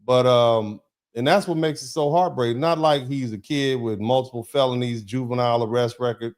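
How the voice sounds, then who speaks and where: A man's voice: medium pitch, steady tone, normal speed, darkish tone. A man hosting a casual talk show, speaking emphatically and often repeating his words as he drives home a point. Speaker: host. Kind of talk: casual commentary chat.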